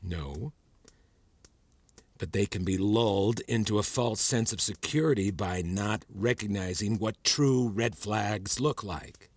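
A man's voice: fairly low pitch, breathy edge, no echo. An actor playing an erudite male psychology mentor in an audio drama, didactic and probing, with a patronising, controlling edge. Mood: quiet, patient. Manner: measured, didactic, teacherly. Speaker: voice actor in a fiction podcast. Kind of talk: acted audio drama dialogue.

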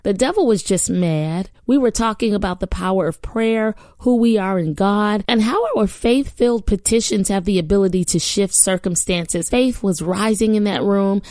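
A woman hosting a daily devotional podcast, preaching and praying with fervor, her voice hoarse after a weekend of ministry, recorded in a small studio. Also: mid-range voice, no room sound. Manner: warm fervent preaching and prayer.

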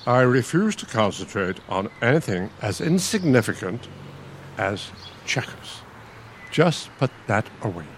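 A man's voice: mid-range voice, big pitch swings, measured pace, slight background noise. A man playing an irritable elderly bookish husband in a radio play, testy, condescending and sardonic. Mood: exasperated. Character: irritable elderly bookish husband, pompous retired college dean.

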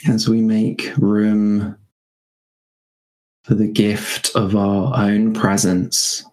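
A man guiding a meditation in a gentle, unhurried manner, leaving spacious pauses between short phrases. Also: lowish voice, little pitch movement, low-quality recording. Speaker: meditation guide. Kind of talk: guided meditation.

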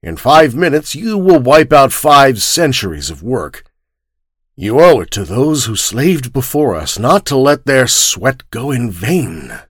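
Older obsessive man, intense and desperate, voiced by a male narrator, lively swings, dry sound. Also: medium pitch, measured pace, breathy edge, no room sound, heavily acted. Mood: intense pleading.

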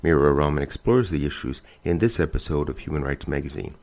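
A man reading a scripted introduction to a news magazine episode in a measured, deliberate way. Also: rumbling low, expressive, darkish tone. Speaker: show announcer.

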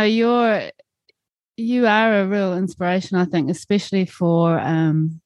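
A woman's voice: medium pitch, darkish tone, no room sound. A woman interviewer asking friendly questions and affirming her guest encouragingly. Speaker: interviewer, host.

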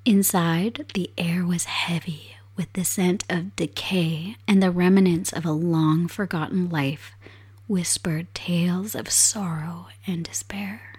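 Hoarse woman host with a cold, slow and low-pitched, soft storyteller at a home desk. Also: fairly even intonation. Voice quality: raspy. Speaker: podcast host. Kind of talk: casual chat and storytelling. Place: home desk set up for streaming.

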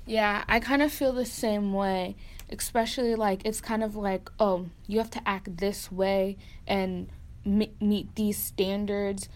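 A woman hosting a laid-back group podcast, her voice sitting at a middle pitch. She talks in a chatty, animated way, stringing thoughts together with lots of "like" and quick little stories. She grew up in Connecticut. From Connecticut, USA. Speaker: podcast host. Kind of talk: casual group chat.

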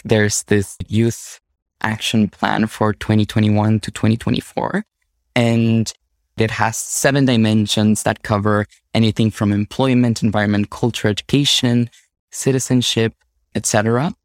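A young man from Mexico, a native Spanish speaker with a Mexican Spanish accent, speaking measured in a documentary interview as a youth advocate. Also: lowish voice, little pitch movement, no room sound, clean studio sound.

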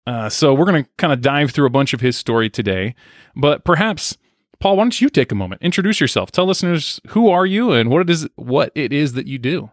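A man's voice: fairly high pitch, expressive, brisk, no room sound, clean studio sound. A young adult man hosting a podcast, speaking in an earnest, reflective, conversational way as he introduces and interviews a guest. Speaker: podcast host.

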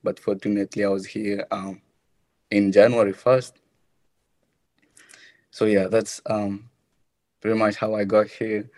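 A low-pitched young man, speaking in an extremely flat, even tone. He answers softly and haltingly, and the sound is dry and close. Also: dry recording. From Kenya. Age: young adult. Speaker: college runner being interviewed.

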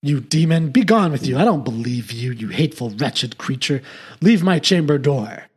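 A man's voice: lively intonation, moderate pace, husky. A man doing an anguished, grief-stricken lonely man. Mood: furious. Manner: pleading, theatrical, raises pitch and volume.

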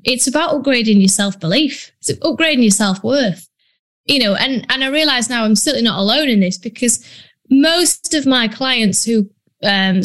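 A woman with a Yorkshire accent, a business coach talking bluntly and chattily in a casual solo podcast, with plenty of ums. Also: medium pitch. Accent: Yorkshire English. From Yorkshire, northern England. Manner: blunt, chatty, frequent ums and British slang.

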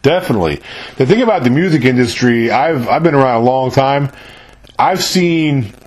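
Husky-voiced male radio host. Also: mid-range voice, normal speed. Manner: relaxed, agreeable, chatty. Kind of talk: casual interview chat.

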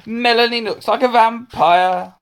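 A storyteller voicing a bratty, sneering schoolboy bully in a children's storybook, with taunting, shouted delivery. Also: mid-range voice, wildly swooping pitch, moderate pace, voice pushed up. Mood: gleeful shouting.

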